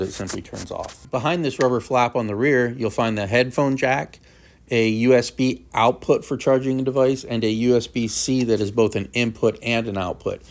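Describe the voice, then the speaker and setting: Matter-of-fact man reviewing a product. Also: mid-range voice. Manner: plain, matter-of-fact step-by-step explaining. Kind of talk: explanatory unboxing review narration.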